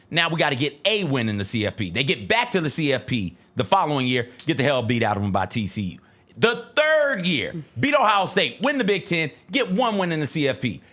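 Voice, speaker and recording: A man's voice: fairly high pitch, fairly bright tone. A man on a sports talk show debating off the cuff, making emphatic, step-by-step points and repeating phrases for weight.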